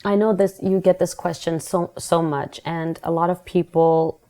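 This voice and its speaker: Woman host, very low voice, gravelly, encouraging, casual interview. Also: fairly even intonation, moderate pace, warm tone. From Armenia. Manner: encouraging, with frequent affirmations.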